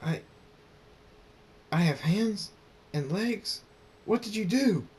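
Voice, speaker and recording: A man voicing a panicky, apologetic male villain in a story, excitable and pleading. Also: normal speed. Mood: panicked. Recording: noisy with low rumble.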